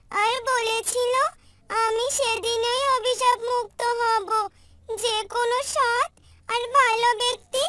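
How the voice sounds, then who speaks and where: A woman putting on a gentle fairy, very high squeaky voice, slow soothing delivery, cartoon storybook. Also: noisy background. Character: kind young fairy freed from a curse.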